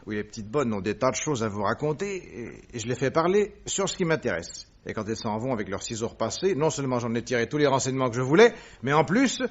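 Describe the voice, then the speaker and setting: A man's voice: medium pitch, sing-song, fast talker, hardly any pauses, breathy edge, highs cut off. An actor playing a witty, eager male detective who tells things playfully and vividly in a French radio drama. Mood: amused.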